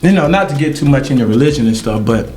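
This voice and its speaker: A middle-aged man hosting a podcast, talking in a halting, reflective way with many ums and uhs. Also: mid-range voice, animated, darkish tone, faint music behind. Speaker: podcast host. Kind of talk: casual podcast chat.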